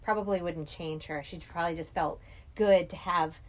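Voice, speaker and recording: Lowish-voiced, reflective middle-aged woman. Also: fairly even intonation, moderate pace. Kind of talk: casual film discussion.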